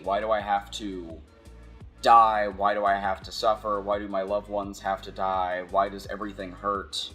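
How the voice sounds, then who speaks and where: A man giving casual, rambling philosophical commentary, halting and repeating phrases as he thinks aloud. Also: lowish voice, a bit bright. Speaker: video essayist.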